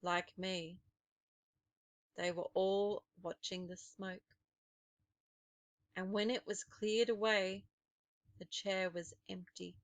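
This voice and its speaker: Slow, expressive woman reading a storybook. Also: mid-range voice, no room sound. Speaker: storybook reader. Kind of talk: dramatic scripted narration of a children's novel.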